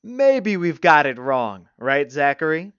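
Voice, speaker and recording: A man's voice: a little high, expressive, moderate pace, darkish tone, dry recording, highs cut off, pitched above the performer's own voice, natural voice. A man voicing a big, confident young boy in a storybook reading. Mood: mocking, sneering. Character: big confident boy, frightened at home, sneering bully.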